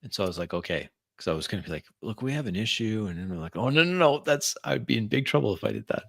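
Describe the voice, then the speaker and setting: A man's voice: lowish voice, lively intonation, fast, vocal fry, no room sound, clean studio sound. A man chatting casually about a game just played, in an agreeable manner that backs up the others. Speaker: tabletop roleplay player. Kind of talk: casual post-game chat.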